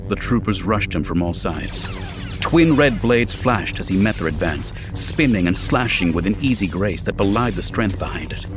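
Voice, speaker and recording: Low-voiced man narrating a dramatic audiobook. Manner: measured, serious, polished reading. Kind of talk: dramatic scripted narration of science fiction.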